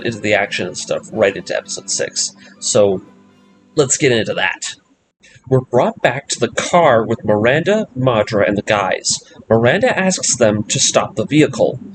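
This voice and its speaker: Man recapping a story over music. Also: mid-range voice. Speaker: host of an anime story breakdown series. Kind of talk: narrated episode recap with casual commentary.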